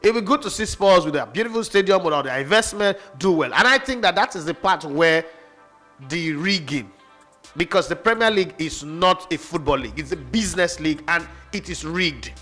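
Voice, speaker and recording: A man's voice: a little high, animated, fairly quick, pushed and bright. A man arguing football on a sports radio show, boastful and mocking, with faint music behind. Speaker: football pundit. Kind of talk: heated sports radio debate.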